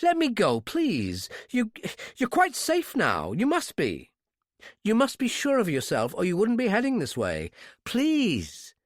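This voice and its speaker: A man voicing a frightened young woman, high and pleading. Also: wildly swooping pitch, a little slow, no echo, clean studio sound, exaggerated voice. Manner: pleading, persistent. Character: frightened but brave young woman hostage.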